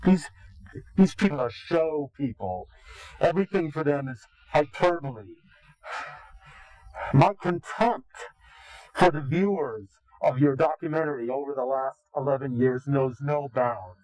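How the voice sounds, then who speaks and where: A man's voice: fairly high pitch, unhurried, darkish tone, dry recording, low-quality recording. A man being interviewed, speaking in a contemptuous, indignant way. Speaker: anonymous interviewee. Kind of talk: recorded interview.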